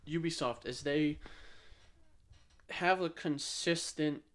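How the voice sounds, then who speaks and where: A man's voice: fairly high pitch, slow pace, a bit bright. A man co-hosting a podcast, chatting casually and informally about games, sometimes repeating himself for emphasis. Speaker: podcast co-host.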